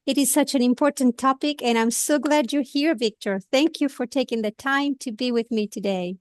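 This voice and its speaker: Italian-accented woman hosting a podcast, earnest and gentle, higher voice, dry booth sound. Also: normal speed, no room sound. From Italy. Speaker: podcast host. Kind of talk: podcast interview.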